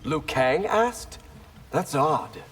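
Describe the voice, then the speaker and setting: A man's voice: a little high, animated, drawn-out words, a bit bright, exaggerated voice. A man playing a guarded, suspicious fighter in a video game, speaking tersely. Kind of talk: video game character dialogue. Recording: long hall reverb, faint noise.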